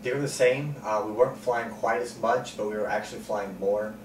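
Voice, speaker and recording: A man in his twenties answering interview questions calmly and plainly, with frequent ums. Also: fairly low pitch, brisk. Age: 20s. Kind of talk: sit-down interview. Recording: roomy, distant mic, noisy.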